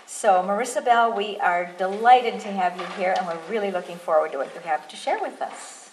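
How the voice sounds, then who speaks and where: A woman's voice: medium pitch, a little fast. A woman hosting an event, introducing the guest speaker in an upbeat way and listing her credentials. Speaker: event host. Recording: echoey hall with faint noise.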